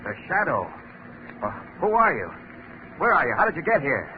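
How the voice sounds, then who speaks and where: An actor playing a brisk, earnest American lieutenant in a 1930s radio drama. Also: male voice, on the high side, very animated. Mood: puzzled. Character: brisk, excitable male air force lieutenant. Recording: muffled, hissy 1930s radio recording.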